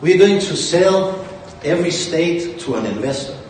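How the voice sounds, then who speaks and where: A man's voice: fairly high pitch, expressive, unhurried, darkish tone, slight background noise. A man with a Danish accent giving a rambling, boastful conference speech as a company founder. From Denmark. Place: large conference hall. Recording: distant mic in an echoey hall with faint ambience.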